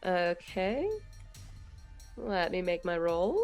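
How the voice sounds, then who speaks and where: A woman's voice: fairly low pitch, lively intonation, slow, darkish tone, clean tone, faint music behind. A woman playing a tabletop roleplaying game, talking casually and politely checking details as she goes.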